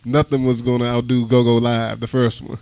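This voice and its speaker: Gravelly-edged man in casual chat. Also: medium pitch, monotone, fairly quick, darkish tone, no echo. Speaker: radio show crew interviewer.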